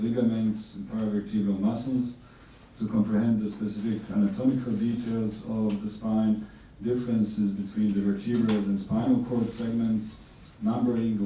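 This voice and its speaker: A neurosurgeon from Bosnia and Herzegovina lectures at a course in a flat, dark-toned voice, stopping to restart his phrases now and then. He sits well back from the mic, so the hall rings loudly around him. A faint hiss runs under the recording. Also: lowish voice. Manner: measured, with frequent fillers and restarts. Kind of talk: conference lecture. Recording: distant mic in an echoey hall with faint noise.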